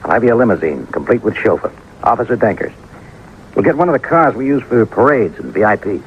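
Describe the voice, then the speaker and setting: Low-voiced, easygoing police detective. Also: male voice, big pitch swings, brisk, phone-quality sound. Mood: brisk, casual. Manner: casual, matter-of-fact. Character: brisk, easygoing male New York police detective. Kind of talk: old-time radio acting. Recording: thin, noisy old radio recording.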